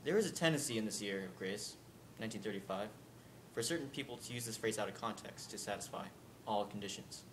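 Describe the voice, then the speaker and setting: Man doing a low-voiced 1930s lawyer, oratorical, over a rumbling noisy recording. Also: quick pace, a bit bright, lightly acted. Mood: earnest. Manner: formal, oratorical. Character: man, a 1930s Southern lawyer addressing a jury. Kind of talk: dramatic reading. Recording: rumbling background noise.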